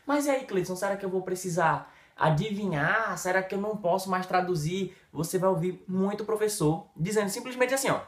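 A Brazilian man in his thirties with a Brazilian Portuguese accent, an English teacher giving an emphatic, energetic lesson straight to the camera. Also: sits high, brisk, few pauses, roomy sound. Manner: lively, emphatic, talks straight to the viewer with many gestures. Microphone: camera in front, head and shoulders framing.

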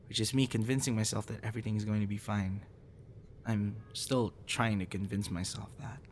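A young man performing an intimate audio roleplay as a troubled guy confiding in a close friend after a breakup, halting and stammering. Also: lowish voice, gravelly. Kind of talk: intimate ASMR boyfriend roleplay, acted monologue. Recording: noticeable background noise.

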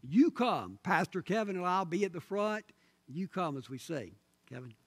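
Man, pastor, middle-aged, earnest, pleading, slightly high voice, pulpit mic, long church reverb. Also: sing-song, over loud music. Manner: earnest, pleading appeals with pauses. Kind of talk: sermon invitation and prayer. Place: large church sanctuary. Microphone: pulpit microphone.

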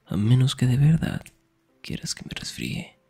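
A young man performing an intimate ASMR roleplay as a teasing, affectionate best friend, murmuring in a breathy, husky whisper. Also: mid-range voice, very animated, unhurried, a little mellow, faint music behind.

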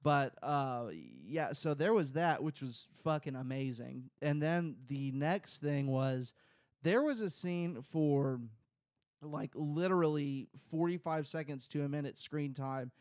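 A man's voice: a little high, unhurried, a little mellow, no room sound, studio quality. A man in his thirties co-hosting a podcast in casual chat, rambling with crude jokes and lots of fillers. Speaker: podcast co-host. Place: small home room. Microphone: close studio mic on boom, headphones.

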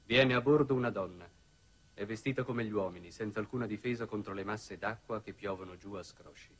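An actor playing a sober mission aide narrating a log, terse and detached, in a 1960s Italian TV drama. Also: male voice, on the low side, brisk, fairly bright tone.

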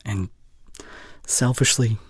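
A young man playing a caring, heartbroken boyfriend in an intimate audio roleplay, speaking haltingly and earnestly, often dropping to near whisper. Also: lowish voice, fairly even intonation, slightly rough, dry recording. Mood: tender, earnest. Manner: halting, earnest, pausing mid-sentence. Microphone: close studio condenser mic.

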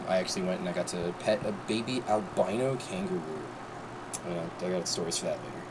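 Brief: low-voiced guy, excitable rambling show-and-tell, phone mic, noisy room with AC hum. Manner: excitable, rambling, jumps into sudden high squeals. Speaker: vlogger. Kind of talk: casual show-and-tell vlog.

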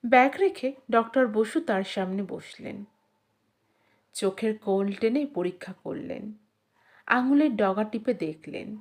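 A woman narrates a scripted story in a somewhat high voice. Her reading is very unhurried and measured, with lively rises and falls that give the literary prose dramatic expression. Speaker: audiobook narrator.

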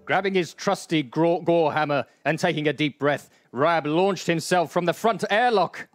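A man's voice: a little high, moderate pace, faint music behind. A man narrating a comic radio play with wry asides.

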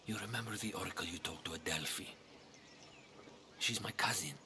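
A man's voice: low-pitched, brisk, sharp tone. An actor playing a troubled, scheming ancient Greek townsman in a video game, with an earnest, pleading manner. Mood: grateful. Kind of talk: video game dialogue acting.

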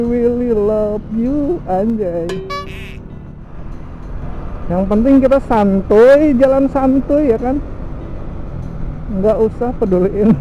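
A man's voice: extremely high pitch, warm tone, muffled recording. A young man from Indonesia chatting casually and jokingly while riding a motorcycle, speaking through a helmet-mounted camera mic with wind and engine noise. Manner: slangy, joking, laughs often. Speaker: motovlogger. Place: outdoors on a motorcycle on a tree-lined road. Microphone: helmet-mounted action camera mic.

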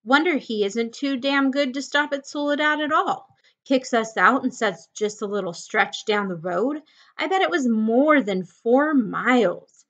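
A woman's voice: mid-range voice, expressive, moderate pace, bright, forceful tone, muffled recording. A woman voicing an irritable, bossy little drifter man from a novel, with rustic, scolding speech. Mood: angry. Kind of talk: audiobook-style character reading of a novel.

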